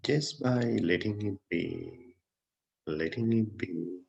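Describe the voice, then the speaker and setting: A man leading a guided meditation as a teacher, speaking gently and unhurriedly in short phrases with long pauses. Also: low voice, creaky. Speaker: Buddhist monk, meditation teacher. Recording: dull, muffled lo-fi sound.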